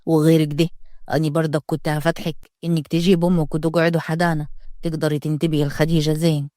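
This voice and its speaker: A woman's voice: sits low, flat delivery, fast, warm tone, no room sound, clean studio sound, close to an everyday voice. A woman voicing a strict, domineering father, giving curt orders in a storybook reading. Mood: stern. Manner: commanding, curt, lays down rules. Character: stern domineering Upper Egyptian man, strict father.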